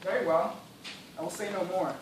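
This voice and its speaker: Distant and swimming in echo over clear background noise, a man plays a gentleman castaway dying of thirst. He is weakened, bitter and impatient, speaking in formal theatrical phrases for an amateur stage play. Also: high voice, steady tone, moderate pace, close to an everyday voice. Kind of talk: amateur one-act stage play acting. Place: indoor theatre stage, recorded from the audience distance. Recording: very echoey noisy hall, far away.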